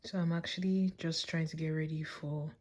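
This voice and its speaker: A woman's voice: sits low, steady tone, normal speed, a little mellow. A young woman talking candidly and self-assuredly in a casual, reflective, rambling personal video diary. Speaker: vlogger and visual artist.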